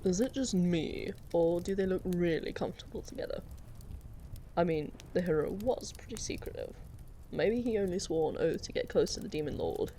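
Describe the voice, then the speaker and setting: Actor as adventuring party member: medium pitch, wry, easygoing, fantasy audio drama, faint background noise. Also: moderate pace, dark tone, lightly acted. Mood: musing. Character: casual, wry adventurer companion.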